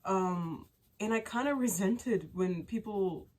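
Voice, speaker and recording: A woman's voice: medium pitch, takes it slowly, a little mellow. A young woman chatting candidly to her viewers, stuffy and sniffling from a cold, rambling with lots of fillers and restarts. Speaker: vlogger. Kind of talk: casual chat to camera.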